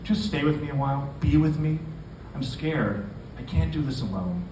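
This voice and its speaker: A man's voice: on the high side, expressive, moderate pace, close to an everyday voice. Man reading as an anxious pregnant woman in an echoey room. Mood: frightened, pleading. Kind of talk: literary fiction read aloud. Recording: echoey live room, distant mic, noisy.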